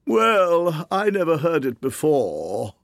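A man's voice: a little high, very animated, no echo, studio quality, pitched above the performer's own voice, exaggerated voice. A man reading a storybook aloud, voicing a mournful, fussy mock turtle that drags out its words. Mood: plaintive. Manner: slow, drawn-out, whiny.

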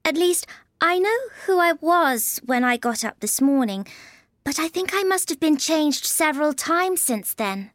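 Storybook little girl, played by a man: very high voice, timid, well-mannered, easily flustered. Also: animated, a little slow, a bit bright, no room sound, clean studio sound, heavily acted. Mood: shy, confused. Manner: polite and hesitant.

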